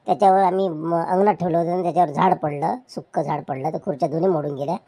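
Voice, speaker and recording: A woman's voice: deep voice, steady tone, very fast, dark tone. A woman voicing a chatty village customer who rambles eagerly and haggles. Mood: eager haggling.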